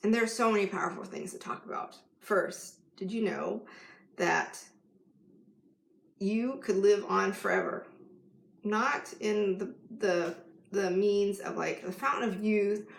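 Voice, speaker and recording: A woman talks as a life coach in a relaxed live vlog. She rambles and chats, with many restarts. Her mid-pitched voice has a gravelly fry, and the sound rings in an echoey room. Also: slow, long pauses. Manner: chatty and rambling, with many restarts and filler words.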